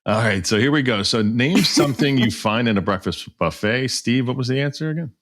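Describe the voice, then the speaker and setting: A man's voice: medium pitch, animated, fairly quick, a bit bright, a little hiss or hum. A man hosting a podcast, running a playful quiz round with his guest in an upbeat, chuckling way. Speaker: podcast host.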